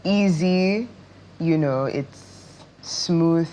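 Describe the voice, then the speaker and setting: A woman's voice: low-pitched, very slow, warm tone, slight background noise, rough recording. A woman with a Nigerian accent speaking casually as an actress being interviewed on television. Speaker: interview guest, stage actress.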